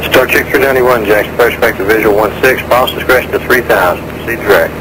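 A man's voice: medium pitch, fast talker. A man working as an air traffic controller, giving clipped procedural instructions over aviation radio. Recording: aviation radio with loud engine noise.

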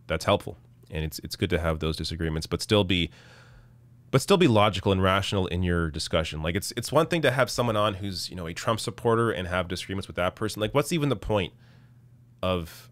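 Low-voiced man losing his voice. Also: a bit bright, dry recording. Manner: casual, halting, many restarts. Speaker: political show host. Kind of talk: live stream chat reading. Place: small dark room, indoors. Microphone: studio mic on arm in front.

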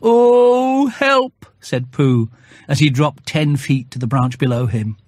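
A man narrating a children's story from a script in a measured, expressive storytelling manner. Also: mid-range voice, slightly rough, dry recording, clean studio sound. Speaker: audiobook narrator.

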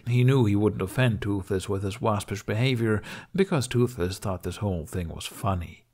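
A man's voice: fairly low pitch, normal speed, a little mellow. A man reading scripted fiction as an audiobook narrator.

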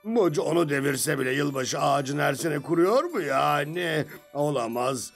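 A man voicing a grumpy, scheming, self-important villainous chimp in a cartoon dub, gravelly and theatrical. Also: a little high, lively intonation, moderate pace, faint music behind. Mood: grumpy, exasperated. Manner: theatrical, refers to himself in third person.

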